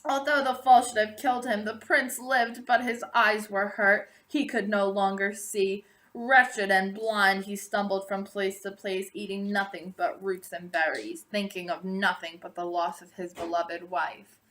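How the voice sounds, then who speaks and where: A woman narrating a storybook aloud, expressively and deliberately, drawing out the ends of phrases. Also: medium pitch, fairly even intonation, fairly bright tone. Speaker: storybook reader.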